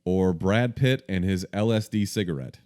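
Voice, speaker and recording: Deep, clear-voiced podcast host. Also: male voice, darkish tone. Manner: brisk, sweary, runs the segments. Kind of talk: casual movie podcast chat.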